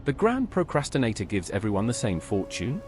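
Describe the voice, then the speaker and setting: A man's voice: medium pitch, huge pitch swings, a little fast, over music. A man voicing an earnest, helpful adventurer hero in a fantasy game. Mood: curious. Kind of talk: AI-voiced fantasy game dialogue.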